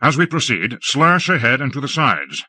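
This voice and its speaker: A man's voice: mid-range voice, very animated, normal speed, pushed and bright, breathy edge, dry recording, heavily acted. A man voicing a male lord who barks urgent commands to his troops in battle. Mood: urgent commanding. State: throat thick with fumes. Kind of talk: audiobook character voice.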